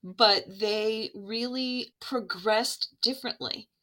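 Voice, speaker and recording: A woman's voice: fairly high pitch, takes it slowly, a bit bright. A woman guest on a podcast, an education program administrator, telling stories from her classroom years in an earnest, reflective way. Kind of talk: conversational podcast storytelling.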